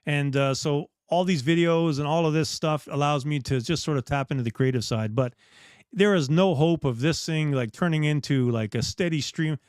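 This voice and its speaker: A man's voice: a little high, moderate pace, clear, resonant tone, no room sound, studio quality. A middle-aged man from the Bahamas hosting a casual live chat, talking in a rambling, candid way with restarts. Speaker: dive shop host.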